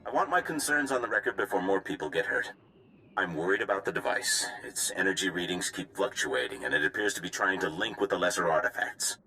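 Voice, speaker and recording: A man's voice: sits low, animated, fairly quick, pushed and bright, soft background music, rough recording. An actor playing an insistent, frustrated male scientist recording a warning log in a game, urgent and opinionated. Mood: worried, frustrated.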